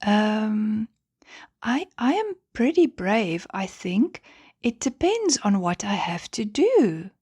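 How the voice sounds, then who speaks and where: A woman's voice: mid-range voice, sing-song, slow, a little mellow, slightly rough, no echo, clean studio sound, voice pushed up, heavily acted. A woman voicing a shy, nervous young male bunny who tries to be brave, in a children's bedtime story. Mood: nervous, hesitant. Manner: hesitant, nervous.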